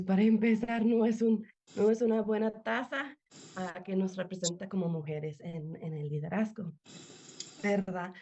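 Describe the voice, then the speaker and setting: A woman from the United States whose first language is English answers interview questions from home. She talks with a warm, mellow tone, stopping to search for words. The call audio sounds dull and lo-fi. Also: mid-range voice. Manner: careful, searching for words, frequent fillers. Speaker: interview guest, nonprofit director. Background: children playing.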